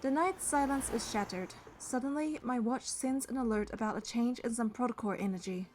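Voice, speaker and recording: A woman's voice: on the high side, fairly quick, a little mellow, a little hiss or hum, lightly acted. A woman voicing a young female hunter and bodyguard, narrating a romance game in an earnest, lightly teasing way. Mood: tense. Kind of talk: scripted first-person game narration and dialogue.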